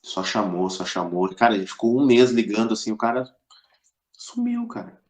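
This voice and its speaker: A man's voice: lowish voice, huge pitch swings, moderate pace, a crowd in the background. A middle-aged man with a southern Brazilian accent from Rio Grande do Sul, casually telling anecdotes as a podcast guest in a colloquial way, acting out quoted dialogue.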